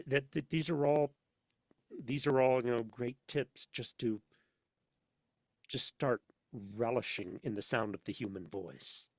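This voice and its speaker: Male podcast guest, mid-range voice, dry sound. Halting, rambling conversational delivery with theatrical emphasis. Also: mid-range voice, no room sound. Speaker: podcast guest, audio drama voice actor and sound editor. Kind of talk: casual interview chat.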